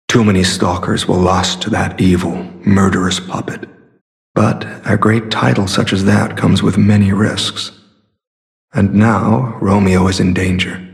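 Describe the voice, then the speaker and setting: A solemn, gravelly masked warrior. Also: male voice, deep voice. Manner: measured, formal, solemn. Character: secretive, earnest masked warrior hunting corrupt alchemists. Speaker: video game voice actor. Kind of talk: scripted game character dialogue.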